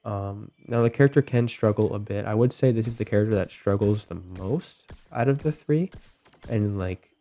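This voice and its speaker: Low, mellow young man with a cold. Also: normal speed. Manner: relaxed, many ums and likes. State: getting over a cold, voice a little off. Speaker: gaming video host. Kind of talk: casual gameplay commentary.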